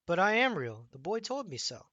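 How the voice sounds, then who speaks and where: A man's voice: high-pitched, sing-song, normal speed, fairly bright tone, no room sound, lo-fi sound, pitched above the performer's own voice, heavily acted. A man voicing a small, innocent velveteen toy rabbit in a storybook, earnest and wide-eyed.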